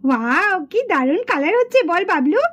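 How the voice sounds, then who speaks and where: A woman voicing a bossy, chatty, excitable schoolgirl doll in a children's puppet cartoon. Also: very high voice, moderate pace, full character voice. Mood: excited. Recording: dull lo-fi sound.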